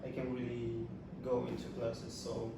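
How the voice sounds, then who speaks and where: Young man from Ethiopia, gravelly voice, interviewing fellow students, distant echoey room. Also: medium pitch, monotone, unhurried. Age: young adult. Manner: asks questions with many fillers. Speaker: freshman student. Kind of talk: casual interview. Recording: echoey room, far from mic, noisy.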